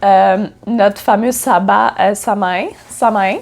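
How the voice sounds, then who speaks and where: A woman's voice: medium pitch, steady tone, slow. A woman with a Quebec French accent, talking casually in a vlog as a spiritual coach, slightly congested from a cold and filling her speech with hesitations. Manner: chatty, many fillers and restarts.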